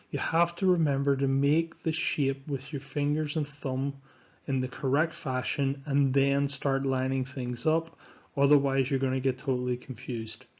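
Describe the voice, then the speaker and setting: A man teaches science over slides in a fairly low voice. He is instructive and deliberate, leaning on key words for emphasis. The recording is a little dull and lo-fi. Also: a little mellow. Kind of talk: scripted lesson narration over slides.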